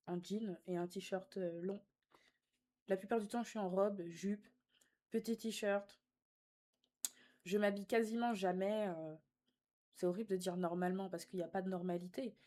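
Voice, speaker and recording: A woman's voice: mid-range voice, a little mellow. Young woman, sassy live streamer. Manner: teasing, sassy, slangy. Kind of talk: casual live chat, reading and answering viewer comments.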